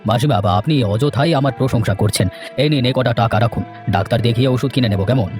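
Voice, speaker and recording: A man's voice: medium pitch, quick pace, music in the background, lightly acted. A man playing a generous, trusting village nephew in a cartoon, courteous and reassuring in manner. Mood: modest, kind. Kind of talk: children's cartoon voice acting.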